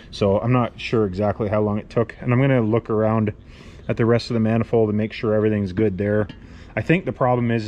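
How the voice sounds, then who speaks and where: A man's voice: lowish voice, darkish tone, slight background noise. A man talking casually through a workshop repair, rambling and correcting himself as he goes. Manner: rambling, self-correcting, plain-spoken. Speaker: welder and channel host. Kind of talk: casual workshop how-to talk. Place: cluttered workshop garage.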